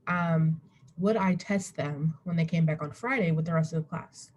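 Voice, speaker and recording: A woman's voice: sits low, moderate pace, a little mellow. A young woman giving an informal slide presentation as a student, rambling and interrupting herself, recorded on a computer at home. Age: young adult. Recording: webcam recording with faint background noise.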